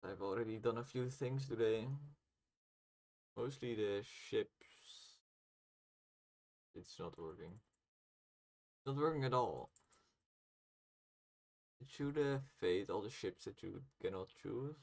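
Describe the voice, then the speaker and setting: A man's voice: on the low side, slow, pauses often, a little mellow. A man chatting casually on a game development livestream, muttering to himself as he thinks aloud while working.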